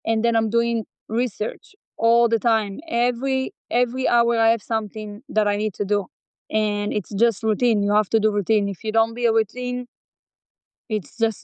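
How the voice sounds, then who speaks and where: Earnest Israeli-born woman, smooth voice. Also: on the high side, no room sound. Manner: earnest, loose non-native English grammar. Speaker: guest singer. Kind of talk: casual interview chat. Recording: dull, dry close-mic audio.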